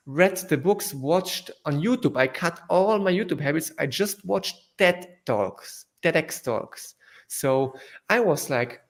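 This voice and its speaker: A young man from South Tyrol whose first language is German, speaking English with a German accent as an interview guest, halting with fillers and restarts. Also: a little high. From northern Italy, South Tyrol. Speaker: guest entrepreneur. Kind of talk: casual interview answers.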